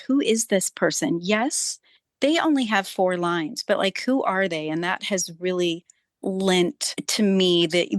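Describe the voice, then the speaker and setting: A woman, an actor chatting casually and candidly in an interview, quick to joke. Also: on the low side, no room sound, studio quality. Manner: jokey, candid. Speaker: actor, guest.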